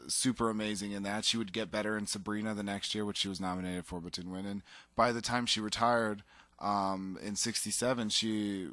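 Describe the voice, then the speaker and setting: A man's voice: lowish voice, fairly even intonation, fairly quick, bright, forceful tone, no echo. A man co-hosting a casual chat show, talking enthusiastically and rambling with fillers and restarts. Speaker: podcast co-host.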